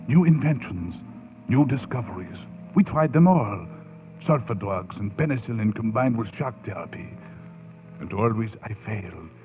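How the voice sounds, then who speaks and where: Brooding, obsessed professor, wildly swinging delivery. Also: male voice, lowish voice. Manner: theatrical, brooding, swelling to fervent outbursts. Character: obsessed male professor scientist bent on raising the dead. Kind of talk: 1940s radio horror acting, dramatic first-person narration. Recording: noisy, muffled phone-like 1940s radio recording.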